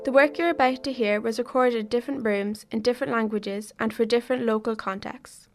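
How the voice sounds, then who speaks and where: A woman giving a calm, measured scripted introduction as the programme's narrator. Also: fairly high pitch. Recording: faint music under voice. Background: faint music.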